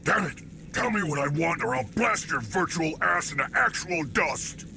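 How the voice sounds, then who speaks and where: A man voicing an angry, impatient alien mercenary in a video game, gravelly, blunt and threatening. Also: a little high, lively intonation, moderate pace, background noise. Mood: furious, impatient.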